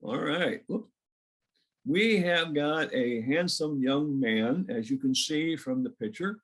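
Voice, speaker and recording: A man's voice: on the high side, expressive, slow pace, a bit bright. A man introducing a guest speaker at a club meeting over a video call. He talks in a chatty, informal way and jokes a little.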